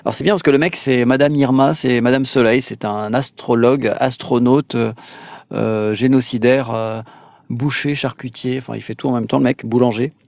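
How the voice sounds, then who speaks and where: A man from France hosts a casual live call-in show, pausing often on fillers and slipping in sarcastic asides. His voice sits at a middling pitch. The sound is dry and close. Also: steady tone, creaky, a little mellow, dry recording. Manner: hesitant, many "euh" fillers, sarcastic asides.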